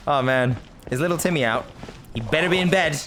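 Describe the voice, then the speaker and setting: A man's voice: on the high side, lively intonation, normal speed, noisy background. A man from Britain giving casual, rambling let's-play commentary as he plays, chatty, self-mocking and often laughing. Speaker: gaming video host.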